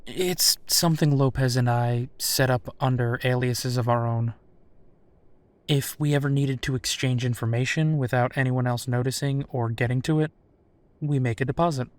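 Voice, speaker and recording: A man acts out a protective werewolf detective for an intimate audio roleplay. His voice sits at a middle pitch and he talks with caring, worried steadiness, pausing as he reassures. The sound is dry and close, with no room on it. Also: fairly even intonation, no echo, natural voice. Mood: serious, planning.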